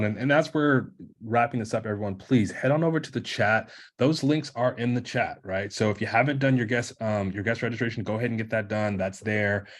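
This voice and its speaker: A man co-hosting a webinar on a video call, reading testimonials and giving announcements in a friendly, practical way. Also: lowish voice. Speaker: webinar co-host.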